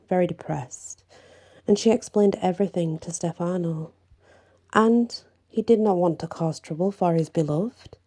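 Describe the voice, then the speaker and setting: A woman narrating a bedtime fantasy story in ASMR style, hushed and breathy, often slipping toward a whisper. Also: on the low side. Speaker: storyteller reading own fantasy fiction.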